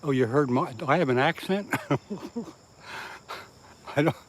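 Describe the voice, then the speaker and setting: A man's voice: fairly high pitch, a little slow, slight background noise. A man from southeast Pennsylvania chatting on a casual fishing livestream, reading viewer comments aloud and laughing at his own jokes. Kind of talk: casual live-stream chat with viewers. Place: outdoors by the water.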